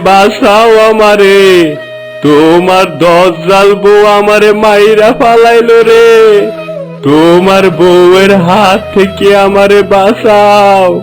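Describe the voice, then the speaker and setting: Henpecked old villager for a cartoon, played by a man: high, slow, plaintive whine, music underneath. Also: fairly even intonation. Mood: panicked wailing. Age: older. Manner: plaintive, drawn-out wailing complaint. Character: henpecked, whining old village husband, regretting marriage. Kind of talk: cartoon voice acting.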